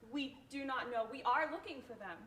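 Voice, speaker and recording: An actress in a staged play reading, playing a gentle, placating social worker who speaks reassuringly, as if to a child. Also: female voice, high voice, fairly quick. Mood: soothing. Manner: reassuring, simple phrasing as if to a child. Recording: roomy echo with clear background noise.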